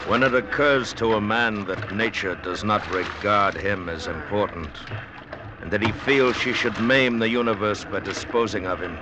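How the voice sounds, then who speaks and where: An actor as the grave, solemn narrator of a literary sea tale in an old radio drama. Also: male voice, on the low side, noisy background, close to an everyday voice. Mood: grave, brooding. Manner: solemn, deliberate.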